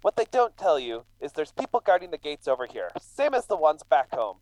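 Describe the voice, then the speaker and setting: A man's voice: fairly high pitch, big pitch swings, fairly quick, darkish tone, a little hiss or hum, low-quality recording. An actor playing a scrawny, resentful immigrant man with an aggrieved, brooding manner in a radio drama. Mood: bitter, wounded. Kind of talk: true crime radio drama acting.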